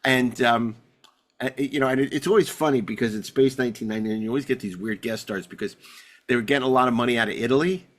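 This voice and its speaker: A man hosting a podcast, chatting casually about sci-fi television in a rambling, excitable way with stammered repeats. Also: medium pitch, fast. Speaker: podcast host.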